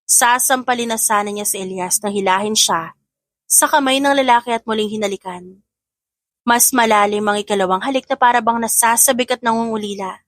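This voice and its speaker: A woman's voice: fairly high pitch, fairly quick, a bit bright, no room sound. A woman giving scripted narration of a romance story in Tagalog.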